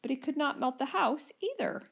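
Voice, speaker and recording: A woman storyteller narrating a children's story in an expressive, scripted style. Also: high voice, a little fast, creaky.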